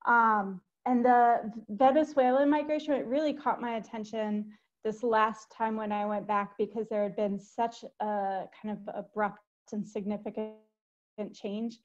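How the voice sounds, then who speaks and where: A woman's voice: mid-range voice, fairly even intonation, unhurried. A middle-aged woman speaking as a panelist in an academic webinar, conversational with fillers, on a video call with earbuds from home. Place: home room. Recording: dull video call audio.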